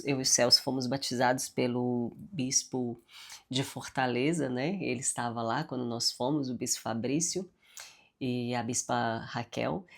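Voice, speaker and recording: A woman's voice: a bass voice, creaky. A woman with a congested, nasal voice teaching the Bible and praying, often adding "né?" and "amém?" as she speaks, with a Brazilian accent. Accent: Brazilian Portuguese. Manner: warm, frequent "né?" and "amém?" tags. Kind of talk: devotional Bible teaching with prayer.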